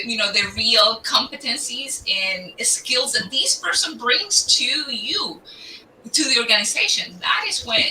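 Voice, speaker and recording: A woman talking earnestly in a casual panel discussion on a video call, measured and searching for words. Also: fairly high pitch, sharp tone. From immigrant to Canada. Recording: roomy and distant with faint hiss.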